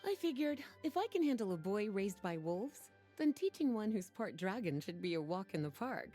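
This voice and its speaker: A woman voicing a poised, playful and knowing sorceress-librarian in a video game, with soft, breathy, unhurried, teasing delivery. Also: mid-range voice, very animated, soft background music, lightly acted. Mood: playful, relaxed. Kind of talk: video game character dialogue.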